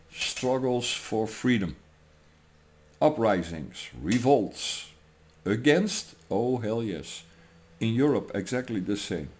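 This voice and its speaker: Slow-talking Dutch-accented man in his sixties. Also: lowish voice, darkish tone. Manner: digressive, tags lines with "huh?", laughs between thoughts. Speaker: political commentary vlogger. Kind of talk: rambling monologue. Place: home room, indoors. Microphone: webcam at desk.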